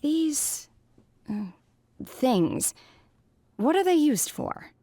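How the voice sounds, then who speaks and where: An aloof, otherworldly game heroine. She speaks slowly and hesitantly, as if puzzled by mortal things, in a very dry, close recording. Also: high voice, sing-song, warm tone, no room sound, heavily acted. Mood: curious, detached. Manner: hesitant, deliberate, pausing mid-thought. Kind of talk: video game voice line.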